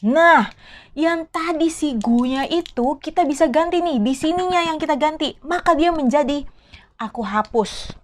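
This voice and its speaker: A woman's voice: high voice, measured pace. A woman teaching a casual online language lesson, chatty, asking her viewers questions and slipping in English words.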